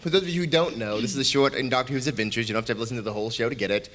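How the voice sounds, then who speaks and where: A man with a medium-pitched voice presents a fan convention panel. His delivery is casual and jokey, with digressions before he gets back on track. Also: very fast, a bit bright. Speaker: panel presenter, audio drama creator. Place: live convention panel room.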